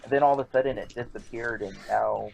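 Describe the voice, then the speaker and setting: A man with a creaky voice chatting casually as a player in an online tabletop game. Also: medium pitch, steady tone, fast, darkish tone. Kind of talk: casual chat. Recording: noisy home mic with clicks.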